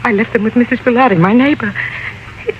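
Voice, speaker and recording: Actress doing grieving plaintive woman, halting, muffled old radio recording w lots of noise. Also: fairly high pitch, natural voice. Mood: anguished. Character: grieving, plaintive wife or mother of murdered man. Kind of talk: 1950s radio drama acting.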